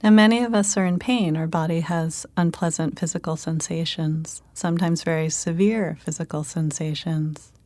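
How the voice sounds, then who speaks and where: A woman leading a guided meditation in a calm, gentle manner, giving unhurried instructions with pauses. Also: low-pitched, no echo.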